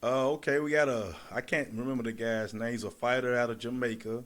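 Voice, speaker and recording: A man with a Kentucky accent, a fight promoter chatting in an interview, relaxed and rambling, laughing easily. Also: medium pitch, a little fast. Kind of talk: casual interview chat.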